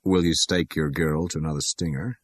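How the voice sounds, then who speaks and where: A sharp-tongued young woman tosses off brisk, slangy wisecracks, read by a man in an audiobook. The voice stays deep and husky, close to the reader's own. The recording is dry and close. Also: fairly even intonation, no echo. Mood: teasing.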